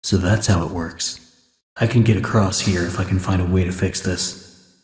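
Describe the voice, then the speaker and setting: A man's voice: low-pitched, steady tone, moderate pace, a little mellow, lightly acted. An actor playing a weary, wary American man exploring a haunted cartoon studio in a video game, muttering his scripted lines in an understated way. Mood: determined. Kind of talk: scripted game character dialogue. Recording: echoey game audio with long reverb.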